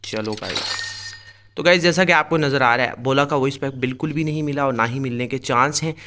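Guy doing casual gaming commentary, crisp bright voice, talks right to viewers and explains stuff. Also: mid-range voice, a little fast. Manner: explanatory, addresses viewers directly. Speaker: gaming video host. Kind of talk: casual screen-recorded game commentary.

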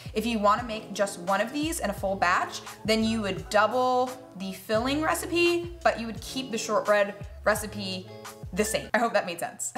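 A woman's voice: mid-range voice, fairly bright tone. An American woman in her twenties hosting a cooking vlog in a chatty, playful, sarcastic and self-deprecating way. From United States. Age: 20s. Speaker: cooking channel host. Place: home kitchen, indoors. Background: background music.

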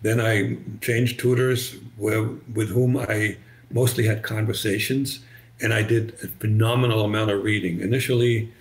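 An older man with a slight German accent, German native, telling his story as a podcast guest over a video call, halting with many restarts. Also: fairly low pitch, fairly even intonation. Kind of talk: conversational storytelling. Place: bright living room, indoors. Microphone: video call webcam.

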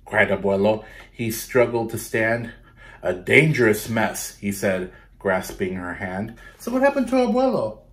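A man's voice: on the low side, very animated, fairly bright tone. Man reading a picture book to children. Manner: instructive, pausing to question and explain to young listeners. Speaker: children's storytime reader. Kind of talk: animated picture-book read-aloud with asides to children. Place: small bedroom, indoors.

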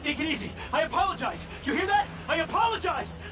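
A man's voice: extremely high pitch, very animated, quick pace, far from the mic, music in the background. A man acting a cowed, pleading rich man in a video game, frightened and near tears. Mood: terrified, pleading. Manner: pleading, placating, panicky.

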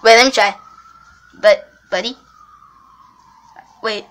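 A woman voicing a childish, grief-stricken buddy in an amateur skit, with a squeaky, whiny voice and melodramatic, drawn-out wailing. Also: steady tone. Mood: worried, coaxing. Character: grief-stricken childish buddy mourning a lost best friend. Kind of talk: amateur improvised character skit. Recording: loud music behind the voice.

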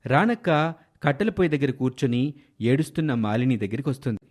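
A man's voice: mid-range voice, animated, a little fast, a little mellow. A man narrating a scripted story as a storyteller.

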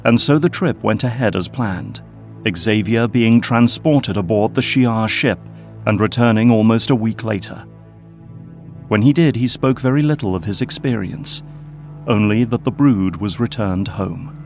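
A man narrating an audio drama in a steady, scripted storytelling manner. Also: lowish voice, unhurried, soft background music.

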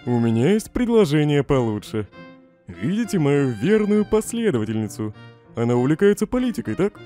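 A man's voice: fairly high pitch, big pitch swings, normal speed, dark tone. A man voicing a snarky, irritable skeleton scientist in a comic dub, sardonic and dismissive. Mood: sly, relieved. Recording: faint music behind the voice.

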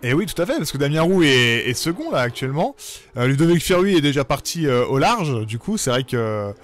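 Man commentating a sim race. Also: a little high. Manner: relaxed, hesitant, many fillers, quick laughs.

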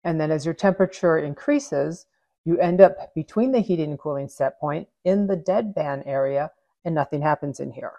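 A woman's voice: low voice, normal speed, no room sound. A woman in her forties, the host of an HVAC channel, explaining a control concept step by step over whiteboard drawings in a home office. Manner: clear, steady step-by-step explaining. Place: home office with shelves and neon sign.

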